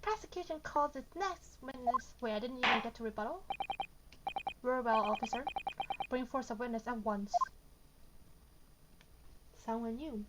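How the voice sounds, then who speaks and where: A woman's voice: on the high side, normal speed, long pauses, very noisy. A woman streaming a video game from her bedroom, reading the dialogue aloud and reacting informally as if talking to herself. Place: bedroom at home. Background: loud game music.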